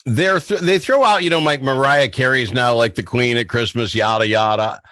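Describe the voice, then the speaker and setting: A man hosting a radio talk show, bantering in an animated, teasing, mock-pleading manner. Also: medium pitch, normal speed, dry recording.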